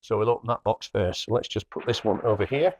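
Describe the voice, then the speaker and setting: A man's voice: lowish voice, fast. A man giving a casual product demonstration as a hi-fi dealer, plainly explaining each step as he works. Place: indoor hi-fi showroom.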